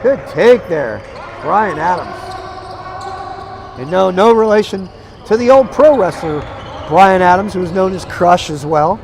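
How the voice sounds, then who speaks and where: A hoarse man calling a live basketball game play by play, energetic and chatty, climbing into excited calls. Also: high voice, measured pace, long pauses, slight background noise. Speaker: play-by-play commentator. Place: arena broadcast position.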